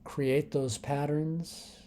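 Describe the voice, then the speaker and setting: A man leading a guided meditation in a calm, unhurried manner, leaving long pauses between short phrases. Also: a little high, slight background noise.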